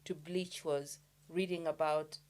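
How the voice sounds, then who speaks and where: A woman from Uganda co-hosting a podcast, speaking reflectively and haltingly with many pauses and fillers. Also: low voice, steady tone, a little mellow. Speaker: podcast co-host. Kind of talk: casual chat.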